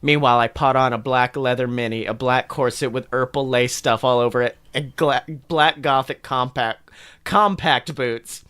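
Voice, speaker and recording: A man's voice: mid-range voice, steady tone, normal speed, a bit bright, smooth, dry recording. A man co-hosting a podcast, giving a playful, mock-dramatic reading of a story with sardonic asides. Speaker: podcast co-host.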